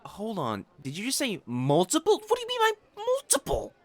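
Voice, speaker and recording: A man's voice: very high-pitched, wildly swooping pitch, normal speed, a little mellow, full character voice. A man playing a proud, possessive noble fiancé in a romantic audio roleplay, haughty and formal in speech and easily flustered. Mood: exasperated, jealous.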